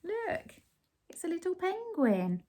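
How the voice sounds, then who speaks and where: A woman's voice: extremely high pitch, huge pitch swings, slow pace, warm tone. A woman reading a picture book aloud expressively, putting simple questions and explanations to young children. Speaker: children's story reader.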